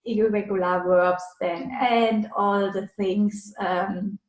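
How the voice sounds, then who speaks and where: A woman's voice: mid-range voice, steady tone, slow pace, roomy sound, poor audio. A woman with a German accent, an online German teacher, answering interview questions casually and explaining with examples. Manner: thoughtful, explains with examples, frequent fillers.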